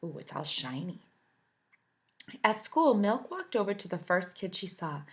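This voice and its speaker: Woman, teacher, children's storybook read-aloud, very lively pitch, animated, asks questions. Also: a little slow. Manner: expressive and animated, asking the listeners questions.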